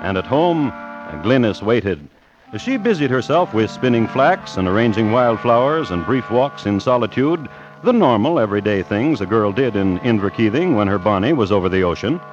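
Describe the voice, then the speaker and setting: A man plays a wry connoisseur of crime narrating a vintage radio show. His fairly low voice is measured yet richly inflected. The recording is dull and dated. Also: lively intonation, faint music behind, lightly acted. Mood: dry storytelling. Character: dry, deliberate male crime connoisseur telling murder tales. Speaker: host and narrator. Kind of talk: scripted old-time radio narration.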